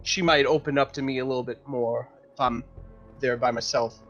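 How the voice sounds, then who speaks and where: A man's voice: medium pitch, fairly even intonation, a little fast, fairly bright tone, muffled recording, soft background music. A man playing a tabletop roleplaying game, casually talking through his actions and improvising dialogue in a hesitant, self-correcting way.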